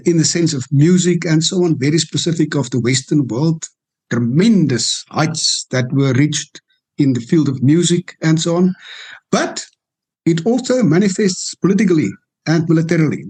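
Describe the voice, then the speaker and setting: An older man, a law professor, explaining history in a measured, lecturing way with frequent restarts and fillers. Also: fairly high pitch, a little mellow, husky. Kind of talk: interview discussion.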